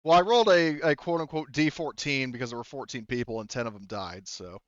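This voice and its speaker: A man working as game master, narrating an improvised story in a halting, conversational way with some casual swearing. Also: mid-range voice, no room sound, dull audio.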